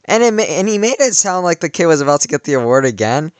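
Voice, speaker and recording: A man's voice: on the high side, big pitch swings, fast talker, no echo. Teen guy rambling a story, lots of "like", voice kinda worn out, dry close sound. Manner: rambling, many "like" fillers and restarts. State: voice worn from cheering. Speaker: vlogger. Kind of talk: casual storytelling.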